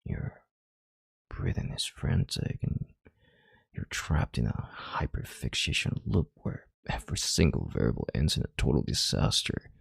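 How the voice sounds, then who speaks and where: A man playing a commanding, calculating CEO in an intimate audio roleplay, speaking in a measured, halting, authoritative way with a deep, husky, gravelly voice. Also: huge pitch swings, dry recording, full character voice. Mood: stern, reassuring. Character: commanding, calculating male CEO calming a panicked employee.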